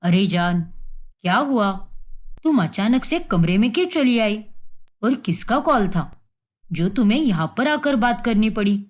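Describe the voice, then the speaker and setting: A woman voicing a doting young husband in a cartoon story, speaking in a soft, reassuring way. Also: mid-range voice, lively intonation, measured pace, voice pushed down. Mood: affectionate.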